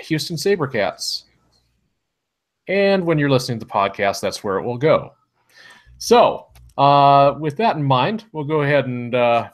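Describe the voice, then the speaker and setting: A man in his thirties hosting a casual podcast, upbeat and a little rambling, speaking into a headset mic in a small room at home. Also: mid-range voice. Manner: upbeat, rambling, filler-heavy. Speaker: podcast host.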